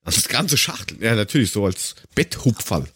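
A man with a husky, rough-edged voice chatting casually and jokily as a podcast host, full of colloquial exclamations. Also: fairly low pitch, big pitch swings, moderate pace, background noise. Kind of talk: casual banter, tasting snacks and drinks.

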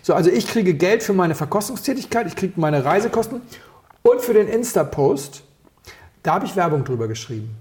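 A man from Berlin chatting casually on a podcast as co-host, rambling through anecdotes with quick asides and self-corrections. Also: high voice, big pitch swings, darkish tone, a little hiss or hum. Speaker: podcast co-host and wine taster.

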